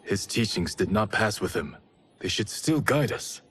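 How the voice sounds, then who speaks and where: An actor playing a patient, level-headed young male ninja in a video game, speaking in a calm, reasoning way. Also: fairly low pitch, a little slow, breathy edge, heavily acted.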